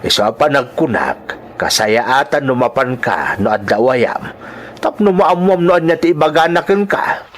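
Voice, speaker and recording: A man playing a tired, hardworking elderly farmer father, gentle and reassuring in a drama style. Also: mid-range voice, sing-song, unhurried. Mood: matter-of-fact, encouraging. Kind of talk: Ilocano radio-style drama acting.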